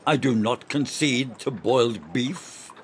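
A man playing a stubborn, gloating older officer in an amateur radio play, savoring his words with emphatic, drawn-out descriptions. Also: mid-range voice, lively intonation, a little hiss or hum. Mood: insistent. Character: stubborn, gloating older male soldier-officer relishing imagined food.

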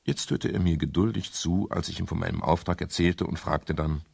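An actor playing a wry, courteous private detective who narrates a 1970s radio crime drama. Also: male voice, sits low, a little fast, creaky, a little mellow, husky, heavily acted. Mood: measured, narrating. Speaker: detective narrator and lead character.

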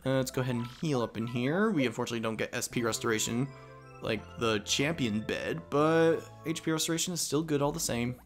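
A man's voice: mid-range voice, a little fast, soft background music. A young man casually commenting as he plays a game, rambling and self-mocking. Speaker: let's play host.

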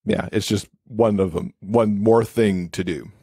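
Male interview guest, lowish pitch, conversational explainer with "you know" asides, dry sound. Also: fairly even intonation, a little slow, warm tone, slightly rough, no echo. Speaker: podcast guest, accessibility expert and author.

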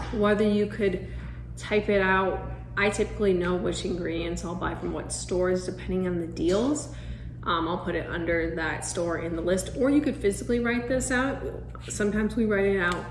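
A woman's voice: mid-range voice, roomy sound, slight background noise. A young woman in her twenties chatting to camera, explaining her meal prep steps casually and restarting sentences as she goes. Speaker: vlogger giving meal prep tips. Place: indoor room, seated at a wooden table. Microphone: camera across the table.

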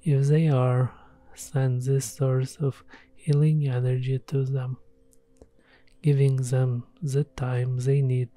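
A man leading a scripted guided meditation in a soothing, gentle, measured way. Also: mid-range voice, dry recording. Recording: soft music behind the voice. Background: background music.

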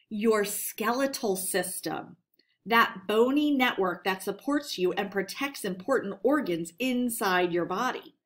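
A woman teacher giving a read-aloud lesson for children in an instructional manner, addressing her pupils directly. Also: medium pitch.

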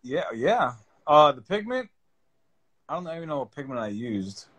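Halting, higher-pitched American man. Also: big pitch swings, a bit bright, breathy edge. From United States. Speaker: contractor, panel guest. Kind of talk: casual chat on a video call. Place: indoor room, reclining. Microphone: phone at arm's length.

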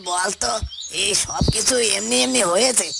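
A man voicing a loyal, short-tempered subject of a fairy-tale king in a cartoon, emphatic and creaky. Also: sits high, normal speed, heavy background noise. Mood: dismissive. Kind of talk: dramatic cartoon dubbing.

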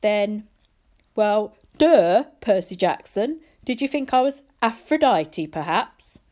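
A woman's voice: mid-range voice, very animated, slow, darkish tone, pitched above the performer's own voice. A woman voicing a petulant, pompous, middle-aged male wine god in a storybook reading, whiny and theatrical. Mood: scornful. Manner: pouting, theatrical, sardonic.

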